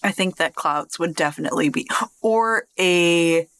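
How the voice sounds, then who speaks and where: A woman co-hosting a podcast, chatting casually in a relaxed, self-assured way. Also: on the low side, a little slow. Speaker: podcast co-host. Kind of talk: casual chat.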